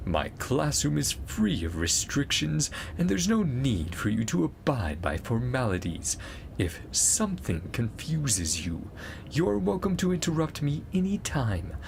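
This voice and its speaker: A man's voice: medium pitch, big pitch swings, unhurried, creaky, slight background noise. A man voicing a pedantic, imperious male professor from a game, formal and exacting as he lectures. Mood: dry, lecturing.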